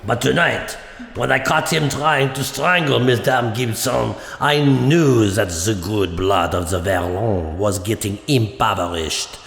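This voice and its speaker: A man playing a gravelly old French servant. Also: lowish voice, unhurried, fairly bright tone, roomy sound, big-room sound. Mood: somber. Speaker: radio drama actor. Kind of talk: radio drama acting.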